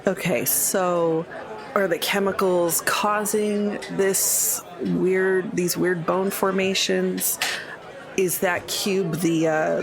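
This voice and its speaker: Slow-talking woman with a creaky voice. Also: lowish voice. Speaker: player in tabletop roleplaying game. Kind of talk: casual improvised roleplay chat.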